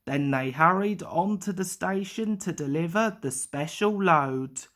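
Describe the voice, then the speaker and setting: A woman's voice: lowish voice, animated, measured pace. A woman reading a scripted children's story aloud as narrator.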